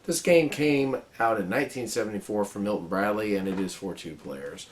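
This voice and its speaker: A man reviewing a board game and explaining its rules in a casual, chatty way, his voice a little raspy from a cold. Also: on the low side, moderate pace, a little hiss or hum. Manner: relaxed, chatty explaining with many fillers. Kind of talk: casual how-to-play review.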